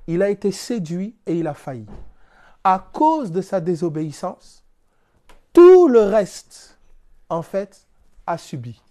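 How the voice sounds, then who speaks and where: A man's voice: sits high, very animated, slow pace, long pauses, mellow. A man from France giving a spiritual teaching talk, explaining through everyday examples and rhetorical questions in a plainspoken, casual way. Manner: plainspoken, casual turns of phrase.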